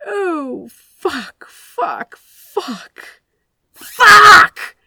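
A woman voicing a flustered, anxious gentleman who babbles breathless apologies. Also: fairly high pitch, expressive, slow pace, husky, no room sound, clean studio sound, pitched above the performer's own voice, exaggerated voice. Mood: distraught.

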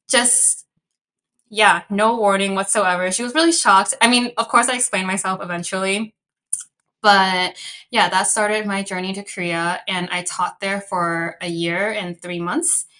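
A woman's voice: mid-range voice, bright, forceful tone. A young woman from Chicago with an American accent, guest on a podcast, chatty and upbeat, laughing often as she talks. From Chicago, USA. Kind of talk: casual interview conversation.

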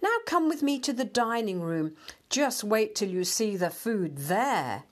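A woman's voice: mid-range voice, lively intonation, measured pace. A woman voicing a boastful, worldly male town mouse in a children's storybook, with a bossy, scoffing manner.

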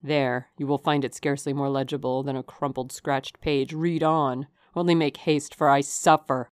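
A woman voicing a passionate, possessive gentleman lover in an audiobook, speaking in an urgent, imperious, declamatory way. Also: a bass voice, flat delivery, moderate pace, smooth, dry recording, pitched below the performer's own voice. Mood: tormented, urgent. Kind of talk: dramatic audiobook character reading.

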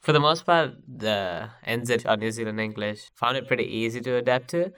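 Bright, smooth-voiced young man from Sri Lanka. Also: mid-range voice, brisk, no echo, studio quality. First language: Sinhalese. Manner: relaxed, filler-heavy, says "like" often. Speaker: guest. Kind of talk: casual podcast chat.

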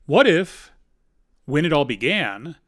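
A man's voice: fairly high pitch, sing-song, slow, a bit bright. A man delivering scripted comedic narration as the narrator of a humor piece.

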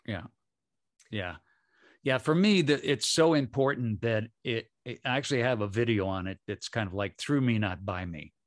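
A man speaking as a podcast guest in a calm, reflective way. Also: lowish voice, moderate pace. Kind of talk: casual interview conversation.

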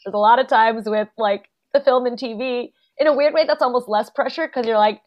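A young adult woman, a guest actor and playwright, chatting casually in an interview, full of fillers and quick laughs. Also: fairly high pitch. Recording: dull lo-fi podcast audio.

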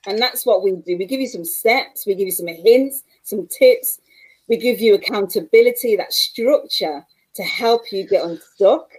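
A woman from England with a Mancunian accent, a guest coach on a remote-call podcast interview, warm and encouraging. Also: medium pitch, slightly rough, rough recording. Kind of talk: casual interview chat.

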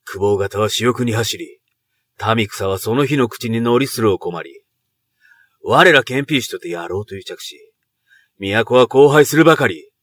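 An imperious samurai lord, bright and forceful. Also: male voice, mid-range voice, expressive, a little slow. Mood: stern, guarded. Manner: archaic, imperious samurai speech. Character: proud middle-aged noble commander, anguished father of grown son. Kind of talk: Japanese period voice drama acting.

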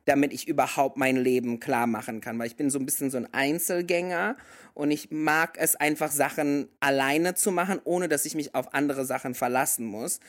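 A man's voice: mid-range voice, steady tone, normal speed, a bit bright. A man in his thirties talks in an easy, rambling way, with a native German speaker's voice. He keeps sliding into English phrases mid-thought. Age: 30s. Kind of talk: casual conversation.

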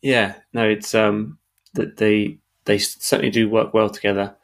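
A man's voice: on the low side, steady tone, normal speed, creaky. A man hosting a business podcast, talking casually and stumbling over repeated words. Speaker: podcast host, sales director.

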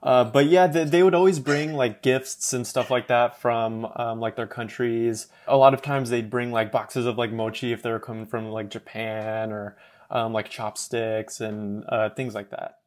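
A man in his twenties from Los Angeles talks in a halting, casual way, full of fillers. He laughs easily as he chats. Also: medium pitch, fairly even intonation. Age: 20s. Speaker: podcast guest. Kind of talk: casual conversation.

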